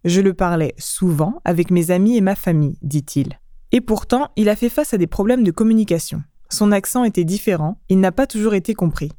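A woman hosting a language lesson, explaining clearly in a teacherly way. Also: mid-range voice, lively intonation, fast talker, warm tone, no room sound, studio quality.

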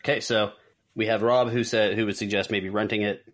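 Male podcast host, deep voice, casual moderator who explains and recaps in a measured manner. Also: little pitch movement. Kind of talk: casual talk show moderating.

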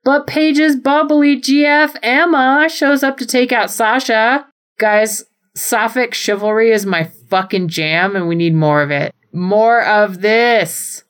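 A woman's voice: fairly high pitch, slow. A woman co-hosting a podcast, giving a casual, comedic recap with playful, wordy descriptions. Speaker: podcast co-host.

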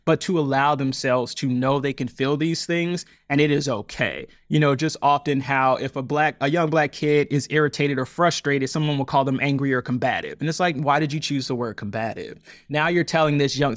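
A man in his thirties, a podcast guest talking about his audio fiction, animated and emphatic, often laughing and putting on quoted voices. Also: fairly high pitch, fairly quick, few pauses, no echo, clean studio sound. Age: 30s. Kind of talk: conversational interview.

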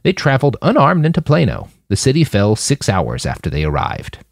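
Guy doing a old grandma leader, dry n blunt, creaky, very up and down, audiobook. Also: medium pitch, wildly swooping pitch, a little fast, dry recording, pitched above the performer's own voice, exaggerated voice. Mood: businesslike. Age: older. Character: eldest old woman leader, wry, blunt, matter-of-fact grandmother.

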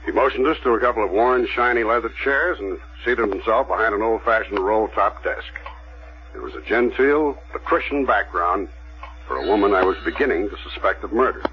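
An actor playing a methodical, persistent police detective with an American accent, measured and matter-of-fact, in a radio drama. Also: male voice, on the low side, a little hiss or hum, lightly acted. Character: methodical, persistent male police detective narrating his case. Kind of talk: 1970s radio drama narration and dialogue. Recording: muffled old radio recording.

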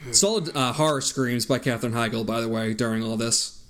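A man's voice: medium pitch, brisk, a bit bright. A man co-hosting a podcast, casually recapping a horror movie's plot in a loose, profane, laid-back way. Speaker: podcast co-host.

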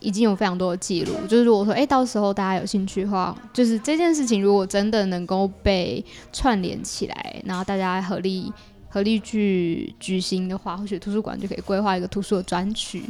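Taiwanese woman, normal mid pitch, casually explaining her project on a podcast, lots of little softeners. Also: fairly quick, soft background music. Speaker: podcast guest, audio drama creator. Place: small partitioned dessert cafe in an alley.

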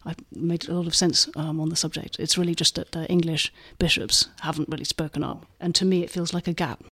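Fast-talking woman panelist, flat tone. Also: low-pitched, slightly rough. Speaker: panel guest, columnist. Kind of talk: conversational commentary.

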